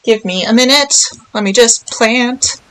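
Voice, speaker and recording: A woman's voice: fairly high pitch, measured pace, fairly bright tone. A young woman giving casual game playthrough commentary, tossing offhand, playful asides to the characters. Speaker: game streamer.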